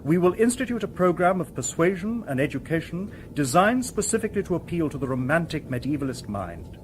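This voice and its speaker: A courteous, literal-minded robot detective, pitched a little high with lively intonation. The phrasing is formal and precise in radio drama style, with faint noise behind. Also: male voice. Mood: calm, explanatory. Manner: formal, precise, measured wording. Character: polite, logical humanoid male robot detective, calm and literal.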